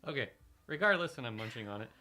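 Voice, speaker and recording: Woman with a medium-low voice, bantering casually. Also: expressive, fast talker, sharp tone, slight background noise. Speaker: tabletop game player. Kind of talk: casual in-character banter at a game table.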